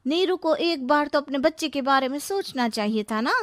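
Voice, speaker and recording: A female narrator acts out a scornful, sharp-tongued daughter-in-law from a cartoon family drama. The voice jumps high and sounds close and dry. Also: lively intonation, normal speed, dry recording.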